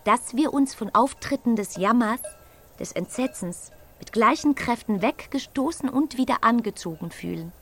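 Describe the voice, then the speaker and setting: A woman reading a literary essay aloud in a radio production, with a measured, formal recitation. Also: a little high, expressive. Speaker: reader.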